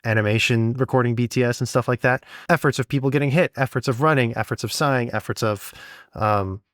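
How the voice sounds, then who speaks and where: A man with a mid-pitched voice, recorded dry with no room sound. He talks like a podcast host, casually explaining things and offering practical advice in an informal way. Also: fast, fairly bright tone, dry recording. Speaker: podcast host and audio drama sound designer.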